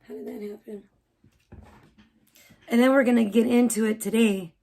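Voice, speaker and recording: Hoarse, bubbly woman streamer. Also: fairly high pitch, fairly even intonation, normal speed, mellow. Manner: bubbly, chatty, rambling with fillers. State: hoarse, losing her voice. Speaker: live streamer greeting chat. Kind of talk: casual live stream chat.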